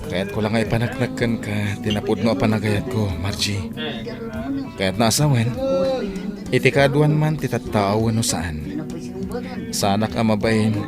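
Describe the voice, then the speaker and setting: A Filipino actor playing an earnest young suitor courting a barmaid in a radio drama. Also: male voice, on the low side, a little slow, long pauses, natural voice. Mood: earnest, pleading. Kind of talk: Ilocano radio drama acting. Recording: radio drama with loud music.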